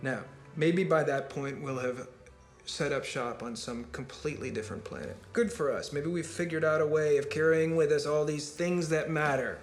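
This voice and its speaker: A man's voice: a little high, moderate pace, few pauses, lightly acted. An actor playing a rambling, fatalistic party guest who holds forth philosophically in a naturalistic film monologue, building his argument step by step in a conversational lecture. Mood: somber, musing. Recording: roomy film dialogue with music behind. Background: music.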